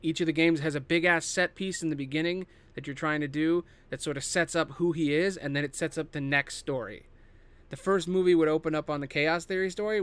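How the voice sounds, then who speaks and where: High-pitched man rambling casually, solo podcast. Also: clear, resonant tone. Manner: rambling, thinking aloud, self-interrupting; casually profane. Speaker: podcast host. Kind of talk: casual improvised solo monologue pitching film adaptations.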